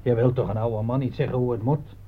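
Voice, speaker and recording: An actor playing a calm, unhurried old male soldier in a radio play. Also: mid-range voice, phone-quality sound, a crowd in the background, close to an everyday voice.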